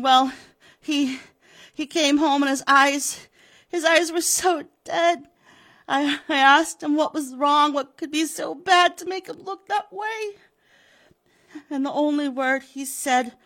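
A woman voicing a grieving, furious widow who speaks in a shrill, bitter, tearful way, told as a spoken story. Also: slow, a bit bright, heavily acted.

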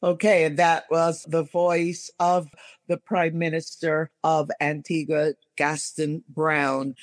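High-voiced male talk show host. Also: little pitch movement, takes it slowly, no room sound. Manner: earnest, conversational commentary. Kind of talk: current-affairs talk show hosting.

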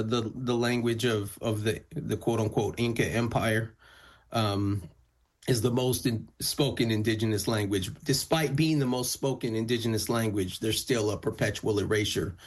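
A man's voice: on the low side, fairly even intonation, moderate pace. A male musician whose first language is Spanish, answering interview questions thoughtfully, often restarting his phrases. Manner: thoughtful, frequent restarts and fillers. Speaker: musician guest.

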